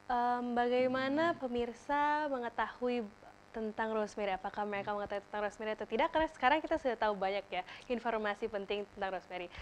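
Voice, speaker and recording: A woman's voice: on the high side, slight background noise. A woman hosting a talk show, thanking the guest and introducing a video. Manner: polite, guiding. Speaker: host.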